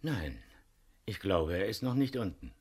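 An actor playing an earnest, homely older Dutch farmer in a radio crime drama, speaking slowly and heavily. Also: male voice, low voice, very animated, breathy edge, exaggerated voice. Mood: puzzled.

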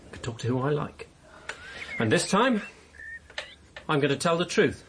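An actor in a radio drama playing a bored, mischievous housebound husband, candid and needling in manner. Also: male voice, a little high, big pitch swings, moderate pace, fairly bright tone, a little hiss or hum. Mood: defiant.

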